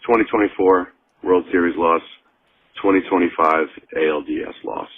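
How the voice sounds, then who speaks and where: Male caller, low husky voice, casual voicemail delivery over a narrow phone line from a car. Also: normal speed, dry recording. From Long Island, New York. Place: in a car, driving.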